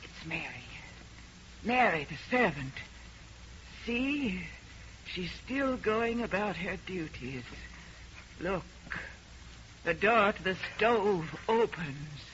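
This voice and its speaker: A woman's voice: mid-range voice, lively intonation. An actress playing a haunted, grieving mother, melodramatic and insistent, in an old radio horror play. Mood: eerie, insistent. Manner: melodramatic, intense, deliberate. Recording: noisy, dull old radio recording.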